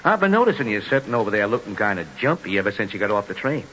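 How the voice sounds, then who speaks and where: A folksy small-town station agent. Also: male voice, on the low side, very animated, rapid-fire, fairly bright tone. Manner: folksy, chuckling drawl. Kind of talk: 1940s radio acting. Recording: dull old radio broadcast with faint hiss.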